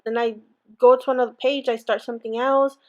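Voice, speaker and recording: A woman's voice: fairly high pitch, little pitch movement, brisk, lo-fi sound. A woman with an accent hosting a casual crafting tutorial, chatty and rambling with many fillers and restarts. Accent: non-native English accent. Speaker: crafting channel host.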